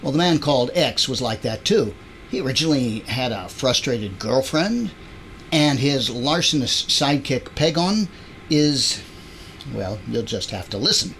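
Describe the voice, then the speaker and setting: A man's voice: medium pitch, expressive, measured pace, a bit bright, slight background noise. A man hosting an audio drama introduction in a chatty, playful, wry conversational style.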